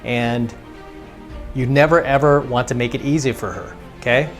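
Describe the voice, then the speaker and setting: A man's voice: mid-range voice, steady tone, moderate pace, faint music behind. Casual man coaching in a lesson. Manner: casual, repetitive, acts out quoted dialogue. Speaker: dating coach. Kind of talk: instructional monologue.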